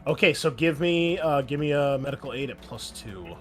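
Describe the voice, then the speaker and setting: A man's voice: a little high, animated, normal speed, soft background music. Easygoing male game master. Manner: easygoing, laughs often, many ums and restarts. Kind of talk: running a tabletop game online.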